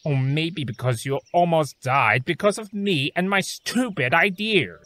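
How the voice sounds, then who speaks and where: A man's voice: on the high side, expressive, unhurried, a bit bright, no echo. A man playing a bitter, self-loathing creature of chaos in an audio drama, theatrical and volatile. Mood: anguished, bitter. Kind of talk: fan audio drama acting.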